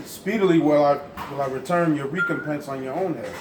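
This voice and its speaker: A man's voice: a little high, a little fast. Insistent male discussion leader, raised voice, echoey noisy room. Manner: forceful, insistent. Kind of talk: emphatic teaching talk. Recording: echoey room with background noise.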